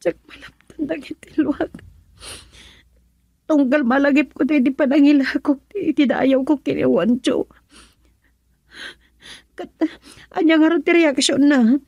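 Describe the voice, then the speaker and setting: A woman with a Filipino accent playing an anguished young daughter in a radio drama, speaking in a soft, breathy, plaintive way. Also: sits high, fairly even intonation, a little slow, pauses often, dry recording, highs cut off. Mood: tearful. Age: young adult. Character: anguished, tearful young pregnant married daughter. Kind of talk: Ilocano radio drama acting.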